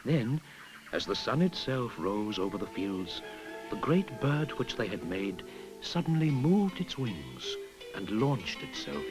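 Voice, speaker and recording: A man narrating a folktale in an expressive storytelling manner, sometimes dropping to a whisper. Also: on the low side, poor audio. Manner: expressive storytelling, drops to a whisper at hushed moments. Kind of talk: storybook narration over music. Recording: narration with clear music behind.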